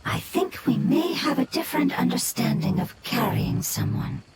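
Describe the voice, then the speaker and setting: A woman voicing an ancient, gentle fungus-shaped creature who explains things calmly and softly, like a patient mother. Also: high-pitched, normal speed, gravelly, exaggerated voice. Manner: calm, explanatory, soft-spoken. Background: loud game music.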